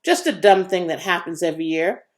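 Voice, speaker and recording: A woman's voice: lowish voice, lively intonation, fairly quick, close to an everyday voice. A woman acts out a nervous boy from a children's storybook. He is squeamish and easily flustered, and speaks shakily. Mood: dismissive. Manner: shaky.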